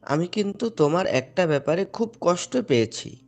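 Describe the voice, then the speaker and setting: Man voicing cartoon father, slightly high voice, devout, patient, earnest, instructive. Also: very animated, moderate pace, a little mellow. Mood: earnest admonishing. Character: devout, gently admonishing father of two. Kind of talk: Islamic moral cartoon dialogue.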